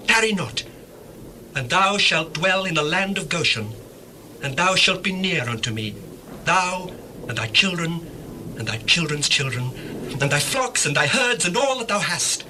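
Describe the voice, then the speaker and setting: An actor playing an impassioned, commanding lord of Egypt in a formal, declamatory dramatized scripture reading. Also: male voice, high-pitched, pushed and bright, exaggerated voice. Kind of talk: dramatized scripture reading, radio-play acting. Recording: noisy old dramatized audio recording.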